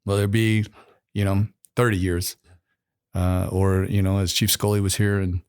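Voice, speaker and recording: Rambling middle-aged country-accented host. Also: male voice, sits low, flat delivery, normal speed, smooth. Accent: rural American. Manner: rambling, warm praise, many restarts. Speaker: podcast host, military chief. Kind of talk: casual interview chat.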